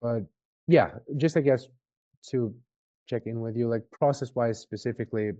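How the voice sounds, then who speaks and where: A man making a conversational sales pitch on an online call, informal and often checking in with questions. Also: moderate pace, warm tone, dry recording, muffled recording. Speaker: sales representative for a marketing agency.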